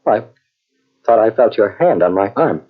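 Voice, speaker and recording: An actor playing a brave man in an old-time radio drama, speaking in an earnest, controlled, halting way. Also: on the low side, animated. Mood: wary, uneasy. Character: brave male companion trapped in a tomb, quietly reassuring. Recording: dull, muddy old cassette radio recording.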